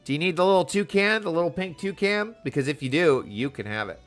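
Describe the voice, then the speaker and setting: A man's voice: fairly high pitch, expressive, fast, a bit bright, faint music behind. A man doing casual livestream game commentary, chatty and playful with sing-song asides. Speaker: game streamer.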